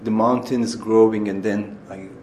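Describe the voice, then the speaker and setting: A theatre director from Turkey speaking Turkish-accented English in an interview, halting and searching for words with many fillers and restarts. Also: male voice, on the low side, level tone, a little mellow, slight background noise. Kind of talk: interview answers.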